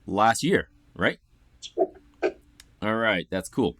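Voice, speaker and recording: A man's voice: lowish voice, expressive, slow pace, fairly bright tone, no room sound, studio quality. A man from Ontario hosting a casual interview, upbeat and chatty. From Ontario, Canada. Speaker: interview host. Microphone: video call setup.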